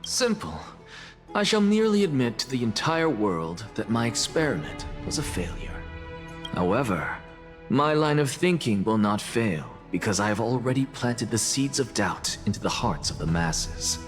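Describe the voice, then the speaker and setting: A man's voice: medium pitch, very animated, moderate pace, close to an everyday voice. An actor voicing an arrogant, self-sacrificing male scholar in a video game, theatrical, self-assured and dismissive. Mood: haughty, assured. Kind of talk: dramatic video game voice acting. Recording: long reverb, music underneath.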